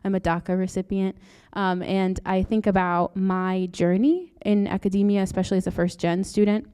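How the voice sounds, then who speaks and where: A soft-spoken young woman from Brazil, a doctoral student giving an earnest presentation into a microphone in a lecture room. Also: mid-range voice, fairly even intonation, normal speed, smooth. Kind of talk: conference presentation. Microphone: handheld or podium mic.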